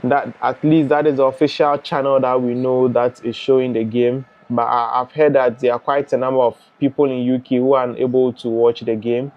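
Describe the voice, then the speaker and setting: A young man from Ghana hosting a live football watch-along, chatting and reading out viewer comments in a relaxed way. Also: mid-range voice, fairly quick, hardly any pauses, dull audio. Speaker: live stream host.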